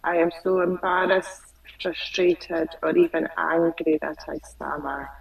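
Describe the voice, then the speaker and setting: Slow, low-voiced woman who stutters. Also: fairly even intonation, creaky, far from the mic, muffled recording. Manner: repeats phrases slowly after the coach. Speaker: client.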